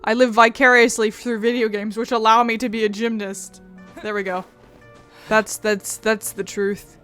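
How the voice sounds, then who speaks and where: A woman's voice: fairly high pitch, level tone, normal speed, a bit bright, smooth, faint music behind. A woman giving casual, wry let's play commentary as a gaming video host, swearing freely.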